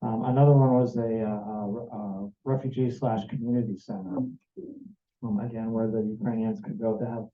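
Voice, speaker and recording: A man's voice: lowish voice, steady tone, moderate pace. An American man giving an earnest, meandering personal testimony to a church group, sounding a little jet-lagged. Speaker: church member sharing a mission trip report. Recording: tinny, echoey room recording.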